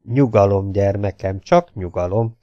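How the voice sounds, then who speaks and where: A man's voice: medium pitch, lively intonation, darkish tone, dry recording, muffled recording, close to an everyday voice. A man voicing a meek, absent-minded old professor in an audiobook story, fussily polite and repeating himself in a mild mutter. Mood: soothing.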